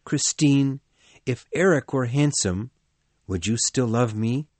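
A man's voice: medium pitch, lively intonation, slow, a little mellow, no room sound. A man voicing a young, anxious and jealous suitor in an audiobook, asking earnest pleading questions. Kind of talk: audiobook dialogue acting.

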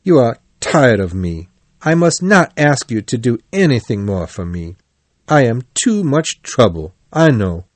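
A man's voice: mid-range voice, sing-song, a little slow, no room sound. Storybook toad, acted out by a man: male, faint-voiced, martyr-like, drawing out his woes in a close, dry sound. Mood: self-pitying. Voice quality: weak, faint. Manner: plaintive, martyr-like, drawn out. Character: self-pitying, melodramatic male toad feigning sickness. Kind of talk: animal storybook character voice.

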